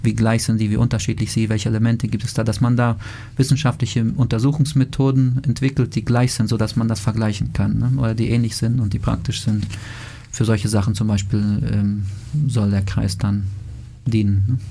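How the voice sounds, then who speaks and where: A man, a native speaker of Plautdietsch, explaining calmly in a radio interview, with frequent fillers. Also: lowish voice, fairly quick, gravelly. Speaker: interviewee, language association member.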